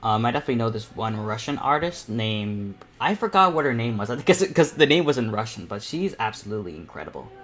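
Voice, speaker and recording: A young man of eighteen speaks at a middle pitch as he hosts a painting demonstration. His delivery is informal and conversational, like a live tutorial. He tends to ramble and think out loud while he works. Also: sing-song, fast, few pauses. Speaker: self-taught artist hosting a painting panel.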